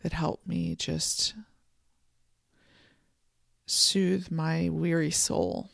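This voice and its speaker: A podcast host giving a soft, contemplative solo monologue from a home office, voice a little froggy this morning. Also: medium pitch, little pitch movement, vocal fry, no echo, clean studio sound. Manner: soft, slow and contemplative, with gentle restarts. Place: home office and art studio.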